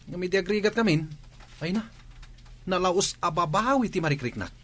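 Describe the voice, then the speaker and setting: Man playing grumbling married buddy, fairly high voice, comic drama style. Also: moderate pace, fairly bright tone. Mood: wry complaint. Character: worn-out, complaining married male friend.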